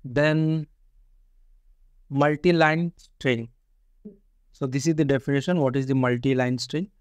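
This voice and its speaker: A man's voice: on the high side, dry recording. Man teaching coding, dry close sound. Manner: repetitive, explains step by step with frequent "see" and "only". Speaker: programming tutor. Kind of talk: screen-recorded coding lesson.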